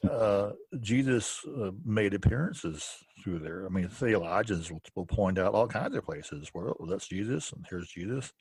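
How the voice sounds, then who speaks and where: Low husky-voiced man musing haltingly. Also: lively intonation, darkish tone, no room sound. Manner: halting, full of restarts and "uh". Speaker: podcast co-host. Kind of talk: casual theology discussion.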